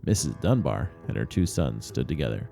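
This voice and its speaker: A man reading a short story aloud as narrator, in expressive scripted storytelling. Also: extremely low pitch, a little fast, vocal fry, soft background music. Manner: expressive, acts out dialogue.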